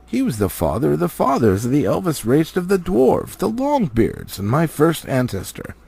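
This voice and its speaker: A man's voice: a little high, wildly swooping pitch, normal speed, gravelly, dark tone, breathy edge, pitched above the performer's own voice, exaggerated voice. A man voicing a proud, noble dwarf leader from a storybook, speaking in a formal, weighty way. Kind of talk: storybook character reading.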